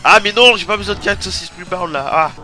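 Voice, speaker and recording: Stuffy-nosed guy yelping and cursing through a racing game, loud game music behind. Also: sits high, quick pace. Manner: excitable, swearing, yelps and laughs while playing. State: stuffy from a cold. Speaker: let's play host. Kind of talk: casual gameplay commentary.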